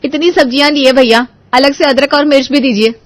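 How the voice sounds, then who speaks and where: A woman's voice: high voice, steady tone, fairly quick, dry recording, pitched above the performer's own voice, natural voice. A woman voicing a dutiful, shrewd young daughter-in-law in a family story. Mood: firm, haggling.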